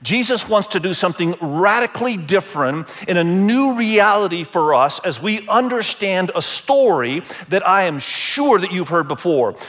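A man from Indonesia preaching as a pastor, deliberate and repeating phrases for emphasis. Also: high voice, big pitch swings, a bit bright. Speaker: pastor preaching to a church congregation. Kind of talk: sermon.

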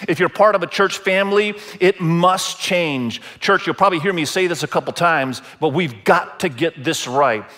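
Higher-voiced man preaching a sermon. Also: pushed and bright. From Indonesia. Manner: deliberate, repeats phrases for emphasis. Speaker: pastor preaching to a church congregation.

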